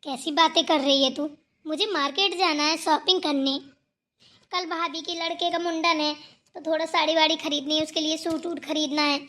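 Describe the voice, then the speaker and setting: Woman voicing a very high-pitched, haughty sister-in-law. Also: steady tone, moderate pace, pushed and bright. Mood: demanding, scornful. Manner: scolding, sarcastic, dismissive. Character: haughty, quarrelsome elder sister-in-law in village household. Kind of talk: acted dialogue in Hindi family cartoon.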